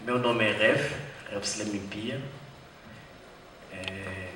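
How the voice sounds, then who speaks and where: Low-voiced Congolese man with a French accent. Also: bright, forceful tone. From Democratic Republic of the Congo. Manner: careful, slightly halting in a second language. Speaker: filmmaker guest. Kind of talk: panel talk. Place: screening room, large hall. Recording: echoey hall, distant, noisy.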